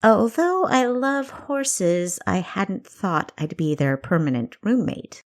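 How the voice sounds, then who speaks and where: A woman's voice: mid-range voice, animated, slow. A woman voicing a young female adventurer in an audiobook, an eager, stubborn younger sister with a pointed, questioning way of talking. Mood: indignant.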